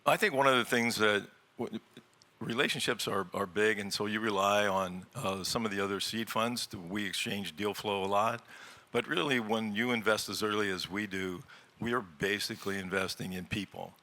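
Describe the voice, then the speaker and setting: A man's voice: fairly low pitch, fairly even intonation, normal speed, sharp tone. A middle-aged man speaking as an early-stage investor on a business panel, plainspoken and repeating words as he thinks. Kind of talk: panel discussion.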